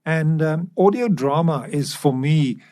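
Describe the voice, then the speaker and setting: A man's voice: a little high, measured pace, darkish tone. A man hosting a podcast in a conversational discussion style. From South Africa. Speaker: podcast host.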